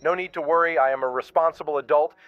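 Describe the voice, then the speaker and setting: A man's voice: a little high, fast talker, close to an everyday voice. A man playing an awkward, earnest and fussy responsible adult in an audio drama, slightly muffled. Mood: awkwardly reassuring.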